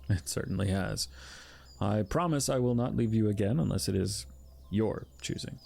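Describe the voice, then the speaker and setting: An actor in an audio drama playing an earnest personified emotion, with stiff, archaic phrasing and halting delivery. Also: male voice, fairly low pitch, creaky, warm tone. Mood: gentle, formal. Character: Fear, formal earnest male personified emotion, newly calm.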